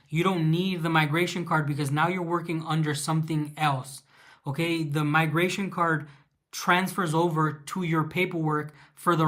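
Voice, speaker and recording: A man's voice: on the high side, fairly bright tone. A man with a Mexican accent hosting a casual live Q&A, reading viewer questions aloud and answering them with fillers and restarts.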